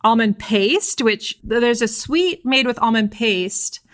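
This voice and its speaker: A woman co-hosting a food podcast, chatting lively and asking her partner questions. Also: on the high side, unhurried. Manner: lively, laughs easily, asks questions. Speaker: podcast co-host. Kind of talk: casual chat.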